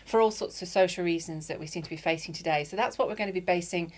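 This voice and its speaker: A woman's voice: on the low side, fast, noisy background. A woman hosting an interview podcast, encouraging her guest and chiming in with frequent affirmations. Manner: warm, encouraging, with lots of affirming "yeah"s. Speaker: podcast host. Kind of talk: conversational interview.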